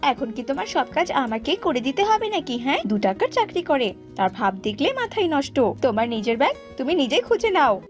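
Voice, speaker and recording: A sharp-tongued bird wife in a cartoon , acted by a woman. She is very high and animated, nagging with open scorn, over faint music. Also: a little fast. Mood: annoyed, scornful.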